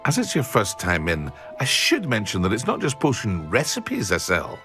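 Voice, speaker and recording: Chatty cheerful potion shopkeeper. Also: male voice, lowish voice, wildly swooping pitch, normal speed, fairly bright tone, soft background music. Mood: enthusiastic. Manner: eager, talkative, fond of his craft. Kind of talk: video game cutscene.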